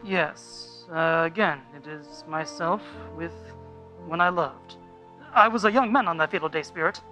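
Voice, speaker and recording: A man playing a flustered, sentimental old man in a radio play, halting and exclamatory. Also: fairly high pitch, sharp tone, soft background music, low-quality recording. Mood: regretful.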